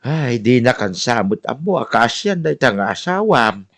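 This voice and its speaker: A man's voice: medium pitch, sing-song, normal speed, fairly bright tone. A man acting a grumbling but sympathetic old father in an Ilocano radio drama. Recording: music playing under the voice.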